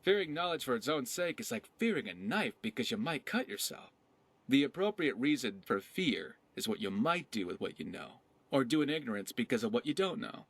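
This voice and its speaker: An actor playing a roguish, witty pirate companion in a video game, talking in a wry, philosophical, conversational way. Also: male voice, mid-range voice, very animated, fairly quick, no room sound. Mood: wry, persuasive.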